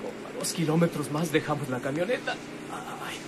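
An actor playing a battered young captive in a radio drama, pleading and halting, with a Mexican accent. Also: male voice, on the high side, big pitch swings. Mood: pained, fearful. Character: frightened, battered young male captive guide. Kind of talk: radionovela acting. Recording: noisy old radio drama recording.

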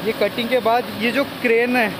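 Vlog host: young male, very high register, inquisitive and casual, outdoors over machinery noise. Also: little pitch movement, a little fast. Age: young adult. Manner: inquisitive, repeats what he is told back. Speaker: vlogger, host. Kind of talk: casual on-location vlog commentary and questions. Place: outdoors in an open stone quarry. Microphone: handheld phone at arm's length.

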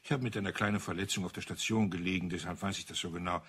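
Husky older man, retired officer. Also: low voice, steady tone, racing, a bit bright. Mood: grim, restrained. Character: old retired officer, bitter, measured storyteller. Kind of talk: German crime radio play acting.

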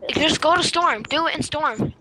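A woman's voice: high-pitched, normal speed. A woman chatting casually as a teammate in an online game. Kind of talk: casual gaming chat. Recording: noisy online voice chat.

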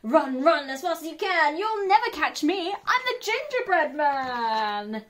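Woman as a cheeky, high-pitched gingerbread man. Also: very animated, unhurried, heavily acted. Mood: gleeful taunting. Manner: sing-song, shouted taunts and cries.

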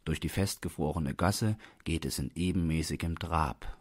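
A man's voice: a bass voice, normal speed, no room sound. A man reading a literary short story as an audiobook narrator.

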